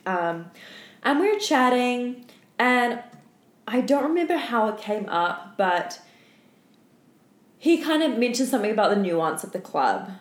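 A woman's voice: a little high, big pitch swings, measured pace, long pauses. Tired giggly woman on a podcast, roomy echo, playful and sweary, just chatting w a friend. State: tired after a night without sleep. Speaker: podcast co-host. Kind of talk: casual chat and storytelling between friends. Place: indoor room at home. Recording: echoey room.

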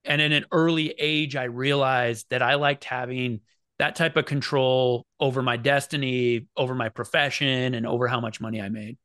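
A man from Oregon talks as a podcast guest, with a bright, smooth voice that carries well. He tells stories about his background in an easygoing way, with some fillers. The sound is dry and close. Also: medium pitch, fairly even intonation, moderate pace, dry recording. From Oregon, United States. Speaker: guest, business founder. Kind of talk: casual interview chat.

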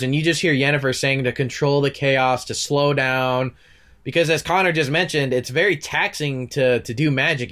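A man's voice: a little high, fairly bright tone. Stuffy man casually recapping a show. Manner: rambling, recounts scenes in long run-on stretches. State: stuffy nose. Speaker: podcast co-host.

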